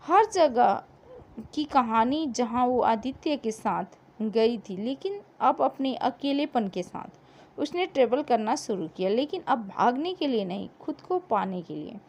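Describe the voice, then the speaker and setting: A woman narrating a scripted story in a dramatic, emotive way with deliberate pauses. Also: on the high side, lively intonation, darkish tone.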